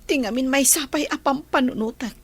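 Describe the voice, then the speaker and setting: A woman's voice: high-pitched, a little fast, breathy edge, no echo. An actress with a Filipino accent playing a dutiful young woman, an eldest daughter troubled in love, in a radio drama.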